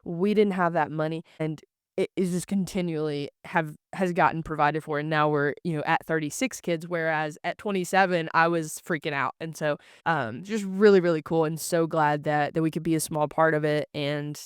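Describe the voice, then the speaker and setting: A man hosts a casual podcast with a fairly high, clean voice. He talks earnestly and effusively, and the sound is dry and close, with very little room around it. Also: fairly even intonation, normal speed, clean tone, dry recording. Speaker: podcast host.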